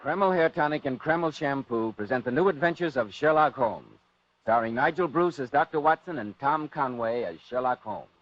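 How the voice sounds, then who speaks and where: A man's voice: mid-range voice, expressive, moderate pace, dull audio, over loud music. An American man announcing as a 1940s radio program host, in a polished, emphatic, salesmanlike style.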